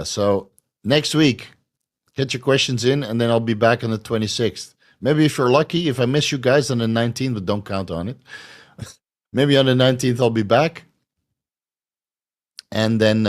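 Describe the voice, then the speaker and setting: A man hosting a casual question-and-answer show, blunt and profane, his voice going hoarse. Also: mid-range voice. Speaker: podcast host answering viewer questions. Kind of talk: casual live Q&A.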